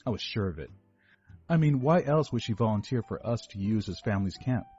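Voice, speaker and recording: Man playing a husky, defensive teenage guy confessing. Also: on the low side, lively intonation, fairly quick, dark tone, lo-fi sound. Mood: rambling, confiding. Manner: rambling, self-correcting, defensive. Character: defensive, overweight eighteen-year-old rich kid confessing to police. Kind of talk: dramatic first-person monologue reading. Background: background music.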